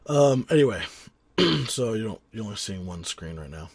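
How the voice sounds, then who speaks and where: A man teaching audio cleanup in a casual tutorial, rambling with frequent ums and restarts, his voice raspy from a cold. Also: lowish voice, very animated, normal speed, no room sound. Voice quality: husky. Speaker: sound engineer teaching a tutorial. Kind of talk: casual screen-recorded software tutorial.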